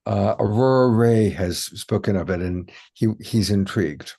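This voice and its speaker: A man's voice: lowish voice, unhurried, creaky, warm tone. Male host reading audience questions. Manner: plain, reads listener questions aloud. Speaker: show host.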